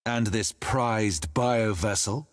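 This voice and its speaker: A man's voice: lowish voice, slow, no room sound, studio quality. An actor playing a haughty, stern inquisitor in a video game, formal and accusing in his delivery. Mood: scornful, stern. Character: stern, scornful male inquisitor. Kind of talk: video game cutscene acting.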